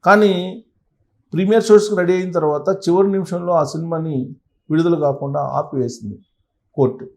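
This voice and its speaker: Higher-pitched man giving emphatic news commentary. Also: brisk. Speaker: presenter of a film news channel. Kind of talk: conversational news commentary and analysis.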